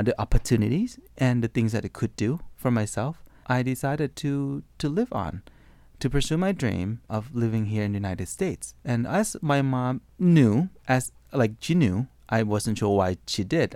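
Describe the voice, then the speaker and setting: A man's voice: mid-range voice, animated, moderate pace, darkish tone, no room sound. A man from Thailand who speaks English as a second language, telling his life story in an interview with frequent restarts and fillers. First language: Thai. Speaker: interview guest.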